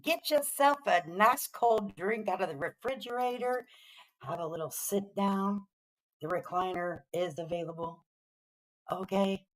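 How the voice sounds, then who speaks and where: A woman's voice: medium pitch, measured pace, rough recording. A woman hosting a casual livestream, greeting her viewers in an upbeat, playful, welcoming way. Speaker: livestream host.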